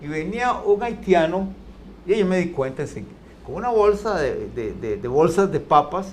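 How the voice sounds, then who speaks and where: An older man, chatting on a panel with many pauses and restarts. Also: fairly high pitch, very animated, darkish tone, a little hiss or hum. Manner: hesitant, many restarts and fillers. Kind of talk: casual chat.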